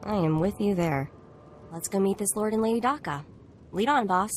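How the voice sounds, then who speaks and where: Brief: actress as a snarky game sidekick, lively pitch, wry and blunt. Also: female voice, moderate pace, soft background music, close to an everyday voice. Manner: wry, sardonic asides. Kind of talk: video game cutscene acting.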